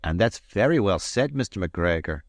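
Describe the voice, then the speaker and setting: A man reading aloud and voicing a proud, hot-tempered Highland swordsman, touchy and boastful. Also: expressive, dry recording, clean studio sound. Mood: haughty. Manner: touchy, boastful, quick to anger.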